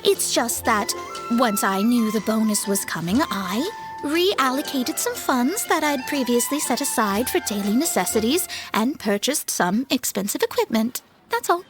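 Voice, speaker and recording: A woman voicing a proud, polite young female astrologist in a video game, refined and expressive. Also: on the high side, moderate pace, music in the background, lightly acted. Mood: flustered, defensive. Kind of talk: animated video game voice acting.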